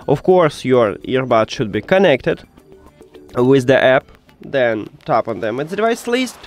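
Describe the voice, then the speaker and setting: A male tutorial host walks through instructions step by step in non-native English phrasing. His mid-pitched voice moves up and down in a lively way, with some music behind it.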